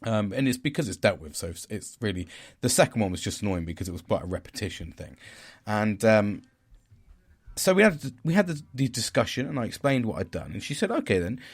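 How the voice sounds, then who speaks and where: Deep-voiced man musing candidly. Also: very animated, brisk, husky. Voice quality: loud voice. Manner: rambling, candid, swears casually. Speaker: podcast host. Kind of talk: casual reflective monologue.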